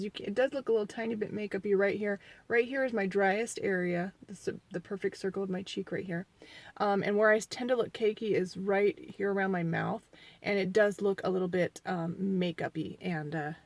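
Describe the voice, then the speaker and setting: Sniffly 40-something woman rambling thru a makeup review, mid pitch, dry close sound. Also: dry recording. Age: 40s. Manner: chatty, rambling, self-deprecating; quieter and mumbling while applying makeup. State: sniffly from allergies. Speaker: beauty vlogger.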